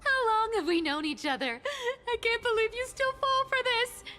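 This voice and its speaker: A woman's voice: very high-pitched, sing-song, normal speed, sharp tone, big-room sound, heavily acted. An actress playing a playful, greedy female thief boss in a video game, with a teasing, theatrical delivery. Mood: smug. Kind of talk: video game character acting.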